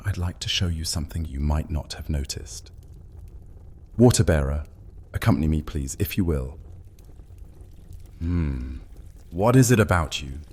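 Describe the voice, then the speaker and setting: An actor playing a suave, predatory vampire in an audio drama, speaking in a theatrical, courtly manner. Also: male voice, extremely low pitch, expressive, unhurried, long pauses, a little mellow, husky, exaggerated voice. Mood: silky, predatory. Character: suave, menacing male vampire hunter, loyal to his mistress.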